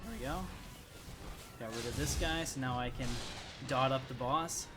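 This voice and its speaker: A man's voice: mid-range voice, moderate pace. A man giving casual live gameplay commentary as a streamer, narrating his own moves in a self-deprecating way. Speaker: game streamer. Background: game music.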